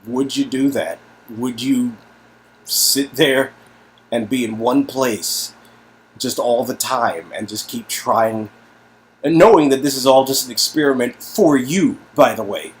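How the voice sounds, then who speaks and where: Man as bewildered, halting young hero. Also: medium pitch, a little hiss or hum, voice pushed up, natural voice, low-quality recording. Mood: worried, earnest. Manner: halting, stammering, earnest. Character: bewildered, concerned young hero questioning a friend.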